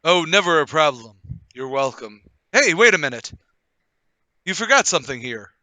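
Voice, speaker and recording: Man playing a bright-voiced, self-assured gentleman. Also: fairly high pitch, very animated, moderate pace, no room sound, heavily acted. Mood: genial. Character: brisk, self-assured, well-to-do gentleman confidant. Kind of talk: table read of a period murder mystery radio play.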